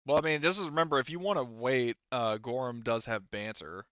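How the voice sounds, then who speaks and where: Male game master, smooth clear voice, dry close sound, slightly dull audio. Casual, halting tabletop narration. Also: medium pitch, a bit bright, dry recording.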